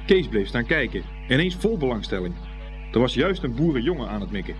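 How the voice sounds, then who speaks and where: A man's voice: medium pitch, big pitch swings, brisk, faint music behind. A man reading scripted storybook narration as the narrator of a radio play.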